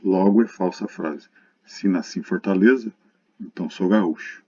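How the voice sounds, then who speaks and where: A man's voice: low voice, normal speed, a little mellow. A Brazilian man giving a recorded math lesson in a didactic, explanatory way, addressing students directly. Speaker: lecturer. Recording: dull lo-fi muffled recording.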